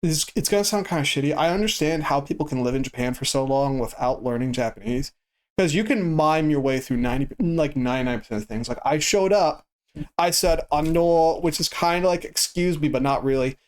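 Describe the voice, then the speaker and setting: A man streaming a game who chats casually in a flat, stoic way and swears freely. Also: on the high side, brisk. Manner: monotone and stoic delivery. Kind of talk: casual chat while gaming.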